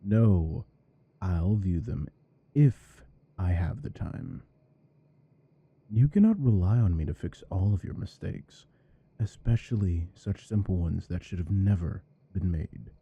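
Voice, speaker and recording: A man acting a weary, possessive, domineering office boss with a deep voice, in a scripted ASMR roleplay. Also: slow pace, breathy edge, no echo, highs cut off, heavily acted. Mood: cold, stern.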